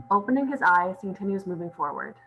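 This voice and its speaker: A woman with a medium-pitched voice reads stage directions at a table read. The recording carries faint noise and hum. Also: expressive, a little fast, a bit bright. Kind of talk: scripted narration at a table read.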